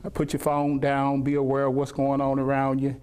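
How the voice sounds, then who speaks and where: A man speaks as a firearms and self-defense instructor. His voice sits at a middle pitch as he gives practical instructions and explanations. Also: monotone, moderate pace, darkish tone, smooth. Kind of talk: instruction and interview remarks.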